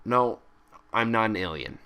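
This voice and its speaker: A man's voice: on the low side, a little slow, sharp tone, smooth. A man voicing an earnest, easily exasperated young female cartoon pony with an urgent, dry, defensive way of talking.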